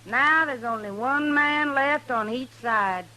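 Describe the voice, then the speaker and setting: An actress playing a weary, plain-spoken mountain wife in a radio Western, with folksy Southern backwoods speech. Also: female voice, high voice, slow pace, sharp tone, exaggerated voice. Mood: plaintive, resigned. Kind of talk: old-time radio Western acting. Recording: noisy old radio recording.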